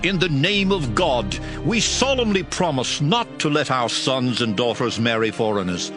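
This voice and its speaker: A man's voice: mid-range voice, big pitch swings, normal speed, natural voice. A man playing a chastened man of ancient Judah, solemnly declaiming an oath in dramatized scripture style. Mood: solemn, pledging. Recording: music clearly behind the voice. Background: music.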